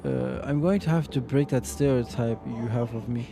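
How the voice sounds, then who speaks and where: A man's voice: medium pitch, huge pitch swings, normal speed, over music. Rambling man streaming a game. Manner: rambling, reads game text aloud, jokey. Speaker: vtuber streamer. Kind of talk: casual game stream commentary.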